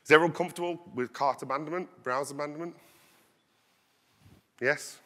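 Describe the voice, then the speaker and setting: A man in his thirties giving an energetic conference talk, projecting his voice as he walks the stage on a headset mic. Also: on the high side, fairly quick. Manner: loud, projecting voice. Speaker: conference speaker. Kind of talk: conference presentation. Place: large conference hall stage. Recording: long hall reverb.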